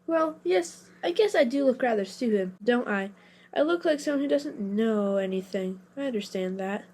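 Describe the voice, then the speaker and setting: A woman's voice: a little high, expressive, normal speed, a little mellow. A woman playing an anxious, bookish young boy in a family audio drama, hesitant and meek. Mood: meek, apologetic. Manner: hesitant, meek, self-deprecating.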